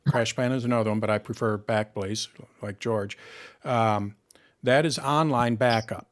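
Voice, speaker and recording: A man with a Michigan accent hosting a live tech question session, explaining at ease with everyday examples and frequent pauses. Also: on the low side, fairly even intonation. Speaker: host answering tech questions. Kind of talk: live Q&A chat.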